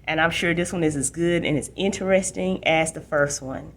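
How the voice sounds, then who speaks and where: Female library host, voice a touch on the low side, casual book talk and read-aloud for young readers. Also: moderate pace, a little hiss or hum.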